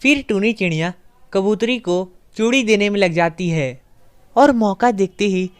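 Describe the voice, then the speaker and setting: A woman's voice: mid-range voice, normal speed, clear, resonant tone. A woman narrator reading a scripted children's story.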